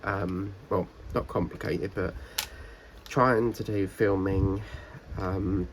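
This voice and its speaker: A man, a diamond painting vlogger, rambling casually with lots of fillers while his voice is croaky and congested from a cold. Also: sits low, steady tone, slow pace, slight background noise, poor audio. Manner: rambling, chatty, many fillers and restarts. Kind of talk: casual chat while crafting.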